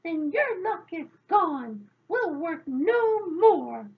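A woman voicing bossy little fairies who call out loudly in sing-song chants. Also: extremely high pitch, big pitch swings, very slow, narrow, tinny audio, pitched above the performer's own voice, heavily acted. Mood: grumbling, cross.

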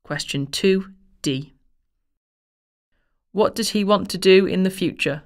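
Measured woman reading exam instructions. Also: medium pitch. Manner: measured and formal.

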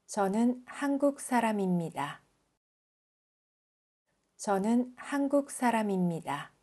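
A woman from South Korea reading example sentences as a language teacher, enunciating carefully and deliberately. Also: mid-range voice, lively intonation, darkish tone, husky.

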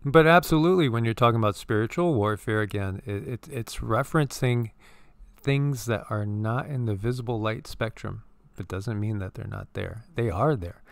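A man's voice: on the low side, moderate pace, creaky, no room sound. A man co-hosting a casual spiritual commentary show, talking in a rambling, earnest way and often repeating words. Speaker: co-host.

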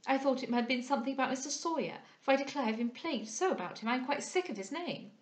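A huffy young society lady as a woman reader acts her out, chattering in a high, gushing voice full of indignation. She is gossipy and voluble, a figure from a period storybook, heard in a roomy, echoey space. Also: quick pace, close to an everyday voice. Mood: excitable, gossipy. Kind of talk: dramatised audiobook reading of a period novel.

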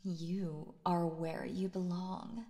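A woman playing a calm, possessive, dominant boss in an intimate ASMR roleplay, speaking in a coaxing, breathy voice that often slips into whispers. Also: lowish voice. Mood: pleased, possessive. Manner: slow, coaxing, seductively dominant. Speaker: voice actor narrating roleplay. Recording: long reverb on the voice.

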